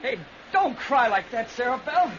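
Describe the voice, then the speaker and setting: High-voiced earnest country lad. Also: male voice, lively intonation, moderate pace, a bit bright. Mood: grave, consoling. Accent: rural American. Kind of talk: old-time radio drama acting. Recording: muffled, noisy vintage radio recording.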